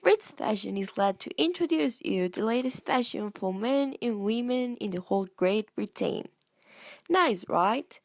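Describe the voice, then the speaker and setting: A woman's voice: mid-range voice, expressive, measured pace, no room sound. A woman playing a 1960s boutique advertisement announcer, reading a salesy script straight to the audience. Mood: inviting, promotional. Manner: salesy, addressing the audience directly. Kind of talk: scripted radio-style advertisement.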